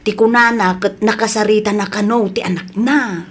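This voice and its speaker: A woman's voice: mid-range voice, fairly even intonation, normal speed, breathy edge, natural voice. A woman playing a poor, anxious but grateful wife in an audio drama, emotive, with an Ilocano accent. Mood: grateful, hopeful.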